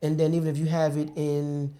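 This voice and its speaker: A male talk-show host with a mellow, relaxed tone chats casually with his guest. His questions ramble in a colloquial, easygoing way. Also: a little high, brisk. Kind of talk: casual interview chat.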